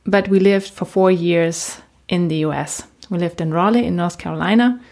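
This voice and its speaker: A woman podcast host with a German accent and a slightly low-pitched voice. She is a native German speaker who works as a coach, and she talks directly to her listeners in a conversational solo presentation. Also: moderate pace, darkish tone.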